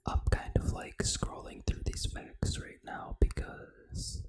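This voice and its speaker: A gaming ASMR host speaking entirely in a soft, breathy, unvoiced whisper, reading out in an unhurried, deliberate way.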